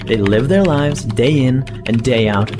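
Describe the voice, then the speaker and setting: An actor playing a sinister, courteous boss of a covert operation who philosophizes deliberately in a sci-fi audio drama. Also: male voice, mid-range voice, lightly acted. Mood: calmly menacing.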